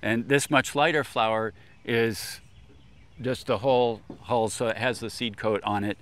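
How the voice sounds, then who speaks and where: An older man explaining things informally in a measured way, as an expert guest. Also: medium pitch, fairly even intonation, fairly bright tone. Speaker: expert guest, agricultural scientist. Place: outdoors on a lawn beside a food truck.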